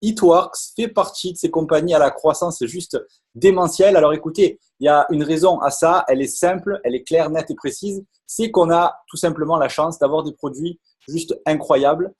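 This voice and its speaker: A man presents a product in a live online pitch, eager and salesy, stumbling over words and repeating himself. His voice sits a little high and has a slightly husky edge. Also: normal speed, darkish tone. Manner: enthusiastic salesy, many hesitations and repeated words.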